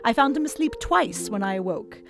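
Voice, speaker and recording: An actress voicing a young woman who reads her diary aloud in a gentle, measured way, in a radio play. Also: fairly high pitch, expressive, vocal fry. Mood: hopeful. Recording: music playing underneath.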